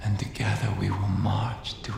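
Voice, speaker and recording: An actor playing a calm, menacing doomsday cult leader in a video game cutscene, solemn and preacher-like, with a gravelly, hushed delivery. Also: male voice, fairly low pitch, normal speed. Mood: cold resolve. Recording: roomy reverberant sound with background noise.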